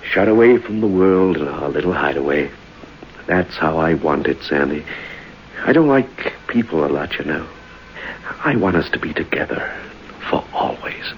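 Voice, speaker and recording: A man's voice: very deep voice, lively intonation, moderate pace. An actor playing an unhappily married man confessing murder plans, in mid-century radio noir style. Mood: quiet, secretive. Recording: muffled, noisy vintage radio recording.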